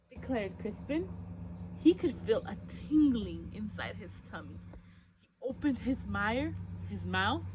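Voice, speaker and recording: A woman reading a picture book aloud to children in an expressive, emphatic storytelling style. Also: sits high, lo-fi sound, background noise. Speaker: story-time reader for children. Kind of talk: animated picture-book read-aloud with questions to listeners.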